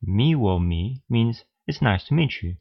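A man co-hosting a scripted beginner language lesson podcast, steady and explanatory as he prompts listeners, on a slightly dull lo-fi recording. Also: medium pitch, measured pace, vocal fry. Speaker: language teacher, podcast co-host.

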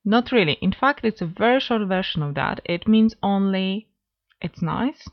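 A woman co-hosting a scripted beginner language lesson podcast, speaking in a clear, measured, instructive way on a slightly dull lo-fi recording. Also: medium pitch, animated. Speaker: language teacher, podcast co-host.

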